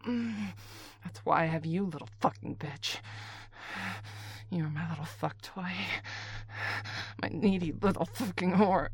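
A breathy, low-pitched, domineering lover, voiced by a woman, possessive and needy and often sinking into a whisper. The delivery is slow and drawn out. It is recorded close and dry. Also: dry recording. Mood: scolding. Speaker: voice actor in an adult audio roleplay. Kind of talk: acted voicemail monologue.

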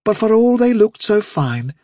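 A man voicing a gentle-lilting mother rabbit telling a fairy tale to her young in a storybook. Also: high voice, big pitch swings, measured pace, dry recording, clean studio sound, voice pushed up. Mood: rapt, storytelling.

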